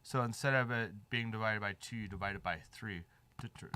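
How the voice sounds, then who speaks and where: A man's voice: on the low side, a little fast. A man making beats in a studio, chatting casually and explaining music theory step by step. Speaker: music producer. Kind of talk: casual studio chat while making a beat. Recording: loud music playing behind.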